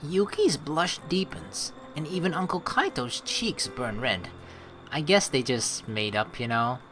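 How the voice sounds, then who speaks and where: A woman's voice: very deep voice, sing-song, a little slow, music in the background, poor audio. A woman hosting a let's play, switching between reading game narration aloud and playful, teasing commentary.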